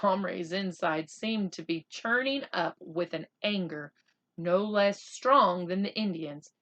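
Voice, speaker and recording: A woman reading a children's book aloud as an expressive narrator. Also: fairly low pitch, takes it slowly.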